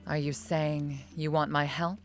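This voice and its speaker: A low-pitched female general from a game cutscene. She is composed and guarded, with measured, formal phrasing. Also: flat delivery, soft background music. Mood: curious. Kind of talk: video game cutscene acting.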